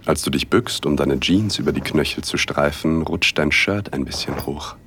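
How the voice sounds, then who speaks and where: A man narrating a first-person erotic audio drama in a hushed, intimate, unhurried way, with a husky voice. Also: rumbling low, slight background noise. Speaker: narrator and male lead.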